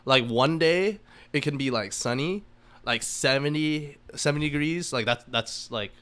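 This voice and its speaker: A man's voice: a little high, a little slow, fairly bright tone, clean tone. An American man in his early twenties chatting casually as a podcast guest, informal and sweary, often checking in with the listener. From Massachusetts, United States. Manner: informal, swearing, frequent rhetorical "right?". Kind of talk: casual chat.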